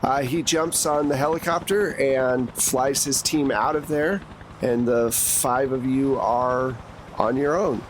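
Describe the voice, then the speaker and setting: Guy game mastering a tabletop rpg, casual narration, mid pitch voice, slight background noise. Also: fairly even intonation, slow.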